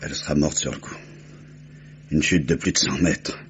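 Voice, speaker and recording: An actor playing a matter-of-fact, methodical police inspector in a vintage French radio drama, with measured, deductive delivery. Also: male voice, extremely low pitch, steady tone. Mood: sober, deductive. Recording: dull, noisy old radio recording.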